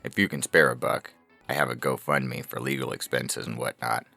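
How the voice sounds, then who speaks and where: An extremely deep, creaky male voice from an actor as a self-pitying slacker in an audio story. He grumbles in folksy, blame-shifting fashion, with a forceful edge and faint music underneath. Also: fairly even intonation, normal speed, no room sound, heavily acted. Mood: aggrieved, self-justifying. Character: self-pitying, blame-shifting slacker man posting his grievances online. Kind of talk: scripted audio fiction reading.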